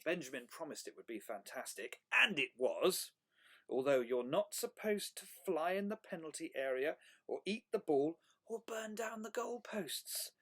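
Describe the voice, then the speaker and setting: A reader as a curious young storybook dragon, earnest, wide-eyed, big pitch swings, dramatic emphasis. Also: lightly acted.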